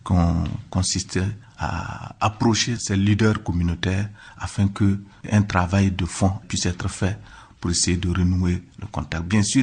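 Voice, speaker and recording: A man's voice: low voice, husky. A man, an official explaining things formally in an interview.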